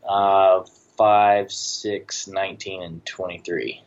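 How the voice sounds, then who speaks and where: Deep-voiced man, slightly dull recording. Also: level tone, takes it slowly. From Southern United States, born in Tennessee. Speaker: podcast guest, barbecue business owner. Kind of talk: casual interview chat.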